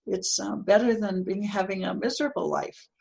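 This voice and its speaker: An older woman with a low, earthy voice, talking as a guest in an interview, reflective and given to small laughs and restarts. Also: moderate pace, vocal fry, darkish tone. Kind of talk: casual interview conversation.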